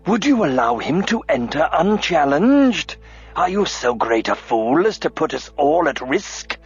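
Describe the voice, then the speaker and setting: A man's voice: high-pitched, sing-song, slow, a little mellow, breathy edge, pitched above the performer's own voice, exaggerated voice. A man voicing a cold, ruthless bald mind-reader who speaks in harsh, curt threats. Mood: cold, furious. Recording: lo-fi audiobook with faint music.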